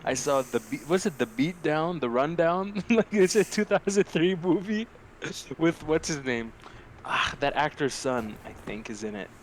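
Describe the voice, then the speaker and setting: A young man co-hosting a podcast in a casual, animated, rambling and sweary chat about films. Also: fairly high pitch, measured pace. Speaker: podcast co-host. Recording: clearly noisy amateur podcast audio.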